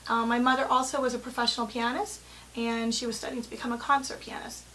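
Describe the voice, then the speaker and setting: A woman's voice: fairly high pitch, flat delivery, fast, sharp tone, slight background noise, rough recording. A woman in her thirties, a music teacher and business owner, giving an earnest, articulate spoken profile of herself. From born in London, grew up in the Bahamas and England. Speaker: music teacher and company president. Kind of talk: spoken personal profile to camera.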